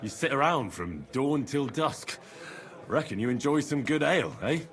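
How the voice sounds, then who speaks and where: A man's voice: medium pitch, lively intonation, moderate pace, a bit bright, natural voice. An actor playing a chummy, probing medieval man in a tavern, in video game dialogue.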